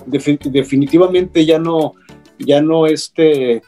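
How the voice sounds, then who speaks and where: A middle-aged man with a Mexican Spanish accent, a radio broadcaster speaking on a panel, pausing often with fillers. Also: on the high side, dark tone, soft background music. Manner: hesitant, many fillers. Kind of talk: panel conversation.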